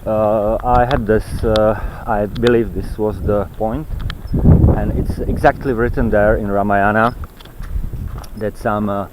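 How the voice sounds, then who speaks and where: A man giving an unscripted, rambling monologue while walking outdoors, halting and fragmented as he searches for words. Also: on the low side, fairly even intonation, background noise. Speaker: vlogger. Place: outdoors on a walk in nature.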